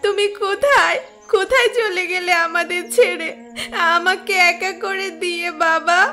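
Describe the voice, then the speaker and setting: Woman as very high, bright, sobbing village girl. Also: steady tone, measured pace, full character voice. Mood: heartbroken. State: crying. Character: distraught village daughter mourning her father. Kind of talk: melodramatic cartoon acting. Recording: music behind the voice.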